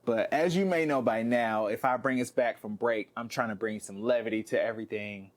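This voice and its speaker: A man's voice: on the low side, a little fast. A young man co-hosting a podcast, chatting casually, swearing freely and goofing around with frequent laughter. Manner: profane, goofy, laughs often. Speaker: podcast co-host.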